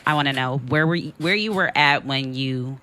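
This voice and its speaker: Bright-voiced male co-host. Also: mid-range voice, normal speed, smooth. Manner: friendly and curious, asks open questions. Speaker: radio co-host. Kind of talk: casual interview questions.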